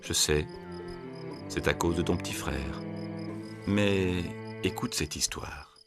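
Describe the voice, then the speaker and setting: A man voicing a wise, kindly male owl who speaks as a calm, comforting counsellor in a children's storybook. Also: low voice, vocal fry, over music, heavily acted. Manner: measured, comforting.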